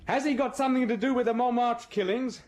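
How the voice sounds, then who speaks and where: A man's voice: very high voice, steady tone, quick pace, darkish tone, voice pushed up. An actor voicing a pushy, persistent male newspaper reporter who keeps firing eager questions.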